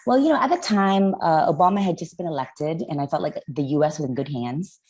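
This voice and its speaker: Low, mellow woman producer, Vietnamese speaker, chatty and animated. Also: fairly quick, slight background noise. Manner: chatty, animated, full of fillers. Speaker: film producer. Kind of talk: casual interview talk.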